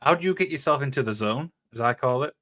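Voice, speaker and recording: A man's voice: medium pitch, expressive, quick pace, fairly bright tone. A man hosting a wrestling interview, talking as an eager fan and leaning on "obviously" a lot. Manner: enthusiastic fan, leans on "obviously". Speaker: podcast host, interviewer. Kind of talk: casual podcast interview.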